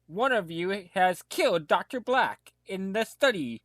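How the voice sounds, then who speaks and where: A formal, methodical detective played by a man with a fairly high voice lays out accusations in an audio drama. He declaims his lines, recorded close and dry with no room sound. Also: expressive, measured pace, fairly bright tone, no room sound. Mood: accusing. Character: methodical police detective accusing murder suspects. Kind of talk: amateur audio drama acting.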